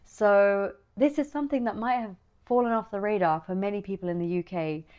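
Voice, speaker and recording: A woman's voice: mid-range voice, fairly quick, a little mellow, clean tone. Earnest woman explaining to camera. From Sri Lankan family, raised in the Netherlands and UK. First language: Dutch. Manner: earnest, emphatic, checks in with "right?". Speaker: video essay host. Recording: slightly dull lo-fi audio.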